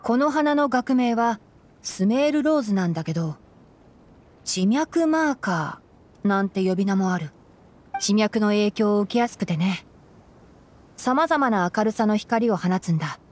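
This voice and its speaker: A woman voicing a gentle young male forest ranger and scholar in a Japanese anime game, measured and explanatory. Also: medium pitch, lively intonation, natural voice. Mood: analytical. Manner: measured, explanatory, soft-spoken.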